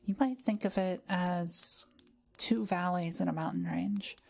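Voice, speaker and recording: Kind forest witch played by a woman for audio roleplay: scholarly, measured, lowish voice with a creaky edge. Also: a little hiss or hum. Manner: gentle, measured, explanatory. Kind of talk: intimate audio roleplay, conversational.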